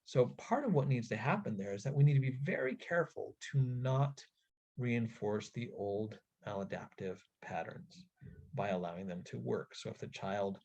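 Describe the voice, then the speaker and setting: A man giving an instructional webinar talk to parents in an earnest, deliberate way, stressing key phrases. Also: on the low side, darkish tone. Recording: dull, lo-fi webinar audio.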